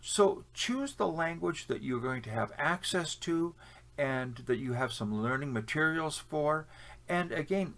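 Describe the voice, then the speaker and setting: Canadian guy with a gravelly voice, opinionated, chatty, lots of uhs. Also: medium pitch, fairly bright tone. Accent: Canadian English. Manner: opinionated, conversational, with frequent fillers and restarts. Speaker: language-learning vlogger. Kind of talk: casual advice talk to camera.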